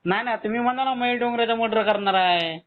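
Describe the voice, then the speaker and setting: A man's voice: extremely high pitch, fast talker. A man with a Maharashtrian Marathi accent on a phone call, speaking in an insistent, questioning way. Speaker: call recipient. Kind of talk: tense phone conversation. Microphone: telephone. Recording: narrowband phone call recording.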